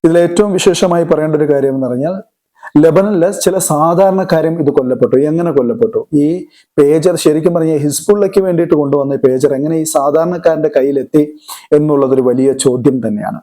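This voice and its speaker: A man explains current affairs as a commentator, deliberately, repeating words for emphasis. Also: a little high, warm tone. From Kerala, India.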